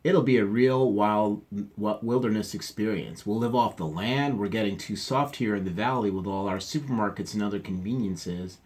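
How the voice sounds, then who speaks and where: A man's voice: lowish voice, normal speed, hardly any pauses, a little mellow, close to an everyday voice. A man voicing an eager, overconfident father bear in a storybook read-aloud. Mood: boastful. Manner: hearty, overconfident.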